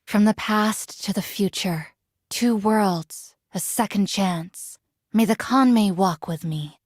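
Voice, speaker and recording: Solemn ancient warrior lady for a game, formal and measured, dry close recording. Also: medium pitch, no echo. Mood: solemn, resolute. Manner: formal, measured, archaic phrasing. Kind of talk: video game character voice lines.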